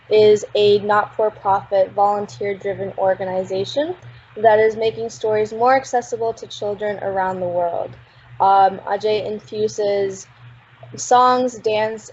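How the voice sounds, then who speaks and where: A woman's voice: mid-range voice, a little mellow. A woman hosting a video session reads out a scripted introduction of a guest. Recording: dull audio with faint noise.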